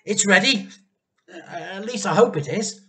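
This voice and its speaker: A man's voice: sits high, measured pace, sharp tone, voice pushed up. A man reading a storybook, voicing an eager, earnest and practical young boy.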